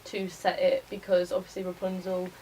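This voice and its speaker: A woman's voice: lowish voice, completely flat delivery, normal speed, darkish tone. A young woman, a college student talking through plans in a casual, tentative class presentation. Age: young adult. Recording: distant mic, faint room noise.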